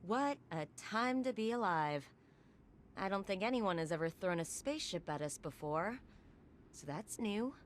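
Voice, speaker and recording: An actress playing a brisk, wry tech operative in a video game, talking in a matter-of-fact, conversational way. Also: female voice, fairly low pitch, slight background noise, lightly acted. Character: brisk, wry female sci-fi operative and technician. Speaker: tech specialist ally character.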